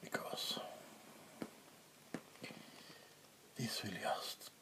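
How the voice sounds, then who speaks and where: A man playing a menacing, smug vampire king in a soft-spoken ASMR roleplay, slow and taunting with mock politeness. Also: close to an everyday voice. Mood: smug menace. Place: small indoor room. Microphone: close mic.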